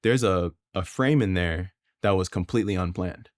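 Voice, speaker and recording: A young man from Florida, a guest videographer telling his story in a casual podcast chat, relaxed and filler-heavy. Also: deep voice, moderate pace, dry recording, clean studio sound.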